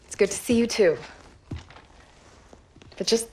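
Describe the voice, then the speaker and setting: Woman playing a brisk scientist, noisy background. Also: on the high side, a little mellow, natural voice. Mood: urgent, intrigued. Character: brisk, inquisitive female scientist, a professor. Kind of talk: video game cutscene acting. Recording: clear background noise.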